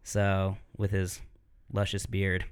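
A man in his thirties hosting a podcast, chatting casually and telling stories in a deeper voice. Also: completely flat delivery, takes it slowly, smooth. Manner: chatty storyteller. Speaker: podcast host. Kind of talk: casual chat.

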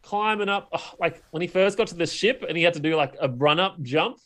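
Male podcast co-host, voice a touch high, informal and slangy, conversational film chat. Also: brisk, fairly bright tone. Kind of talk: casual film discussion.

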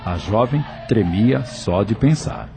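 A man's voice: lowish voice, lively intonation, takes it slowly, over music. A man with a Brazilian accent giving scripted dramatic narration for a radio serial.

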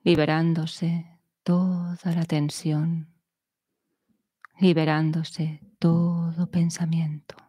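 A woman guiding a meditation in a soothing, measured manner, speaking in short phrases with long pauses. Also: low voice, little pitch movement, mellow, slightly rough, no room sound, clean studio sound. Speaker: meditation guide. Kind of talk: guided meditation narration.

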